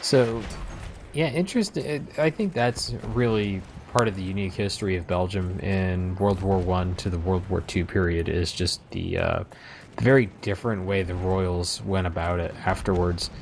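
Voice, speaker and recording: A man's voice: low voice, moderate pace, gravelly, slight background noise. A man hosting a let's play, chatting casually as he comments on gameplay. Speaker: host of a video game let's play.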